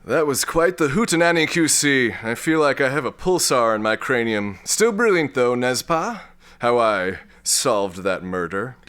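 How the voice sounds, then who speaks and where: A man's voice: mid-range voice, expressive, unhurried, a bit bright, a little hiss or hum. A man playing a bumbling, lovestruck, pompous space lord with a theatrical, stammering manner in a comic sci-fi audio drama.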